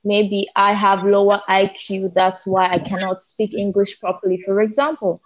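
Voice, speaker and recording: A woman's voice: medium pitch, level tone, moderate pace. Passionate young woman, muffled video call. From Africa. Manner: passionate, gestures while talking, some restarts. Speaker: co-host. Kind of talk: casual discussion on video call. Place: indoors at home. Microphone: video call, front camera.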